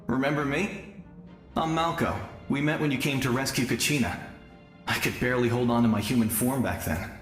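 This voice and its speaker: Gentle young spirit guide played by a man, echoey. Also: mid-range voice, a little fast, natural voice. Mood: warm resolve. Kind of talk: video game voice acting. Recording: echoey, music behind.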